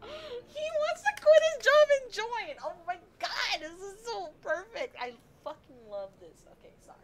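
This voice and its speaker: A young woman streaming a game, gushing and rambling like an excited fangirl. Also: very high voice, unhurried, a little hiss or hum. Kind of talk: excited live game commentary. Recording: game music playing under the voice. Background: game music.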